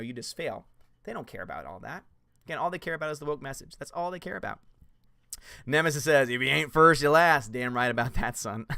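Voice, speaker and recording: A man talks on his livestream with a fairly high voice, reading viewer comments and slipping into mocking put-on voices. The sound is dry and close, with no room around it. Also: dry recording. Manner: animated, puts on mocking voices. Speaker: host reading live chat.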